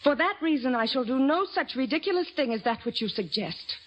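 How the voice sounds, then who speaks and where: A woman's voice: high voice, animated, a little fast. An actress playing a proud, determined young woman who wants to be a surgeon, with firm, formal diction, in an old-time radio drama. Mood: proud, resolute. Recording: muffled, slightly noisy old radio recording.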